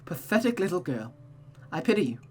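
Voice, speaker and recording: A woman's voice: lowish voice, sing-song, a little fast, mellow, a little hiss or hum, voice pushed up. A woman voicing a proud, tormented cursed man in a visual novel, bitter and sneering in a dramatic delivery. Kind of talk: visual novel character read-aloud.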